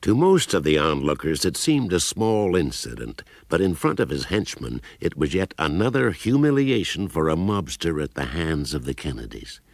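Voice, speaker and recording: A man narrating a radio drama as a grave storyteller, in a measured, deliberate and dramatic manner. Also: extremely low pitch, big pitch swings, no echo, clean studio sound, full character voice. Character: grave storyteller recounting a political feud, adult man.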